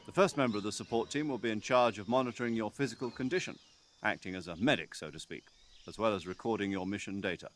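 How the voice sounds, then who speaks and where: An actor playing a commanding middle-aged military officer giving a formal, instructive radio briefing in a video game. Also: male voice, fairly low pitch, a bit bright, soft background music, close to an everyday voice. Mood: calm, formal. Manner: measured, authoritative, instructive. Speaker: mission commander.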